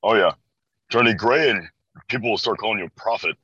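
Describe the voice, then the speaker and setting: A young American man in his early twenties, from Chicago, talks casually as a guest. His voice is on the low side but bright and forceful. The recording is close and dry, a bit dull and lo-fi. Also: brisk, slightly rough, dry recording. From Chicago, USA. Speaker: interview guest. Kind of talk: casual chat. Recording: dry, slightly dull band-limited audio.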